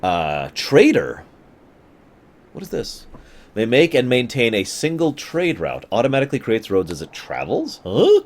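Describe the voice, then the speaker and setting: A man's voice: mid-range voice, wildly swooping pitch, unhurried. A man casually talking through a strategy game for his stream viewers, chatty and given to sudden mock outbursts. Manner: chatty, talks to chat, swings into mock outbursts. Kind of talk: casual live gameplay commentary.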